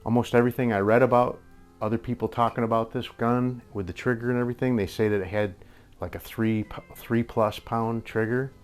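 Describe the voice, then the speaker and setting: An older man with a slightly hoarse voice, rambling through a casual airgun review in a workshop and chuckling often. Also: mid-range voice, steady tone, fairly quick, faint music behind. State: a little hoarse. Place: indoor workshop with tool wall. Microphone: camera at a few feet.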